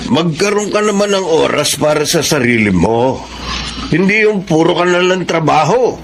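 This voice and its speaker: An actor playing a caring older father, gently advising, in a Filipino radio drama. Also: fairly high pitch, lively intonation, normal speed, gravelly, noisy background. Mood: fond concern.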